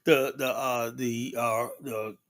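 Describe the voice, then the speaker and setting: Man, middle-aged, from Liberia, livestream host, rambling talk, lots of "you know", animated delivery. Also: medium pitch, slow. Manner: rambling, animated, many fillers and rhetorical tags. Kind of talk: casual livestream discussion.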